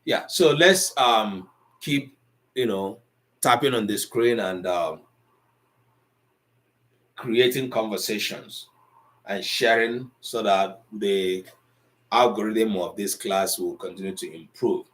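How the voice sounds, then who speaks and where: A man's voice: lowish voice, slow pace, long pauses, fairly bright tone, poor audio. A middle-aged man teaching an Igbo language class online, lecturing in a conversational way that mixes English and Igbo.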